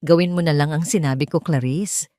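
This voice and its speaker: A woman's voice: deep voice, steady tone, a little fast, warm tone, dry recording, studio quality, lightly acted. Woman voicing a cold, vengeful, commanding man. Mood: cold, irritated. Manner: curt, commanding, contemptuous. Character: cold, vengeful, impatient businessman barking orders.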